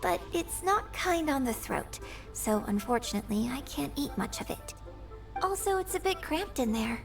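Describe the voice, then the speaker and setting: A woman voicing a graceful, polite, composed young opera performer in a video game, with refined, measured phrasing over music. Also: high voice, animated, gravelly, recorded from a distance. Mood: relaxed, pleased. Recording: game music bed behind voice.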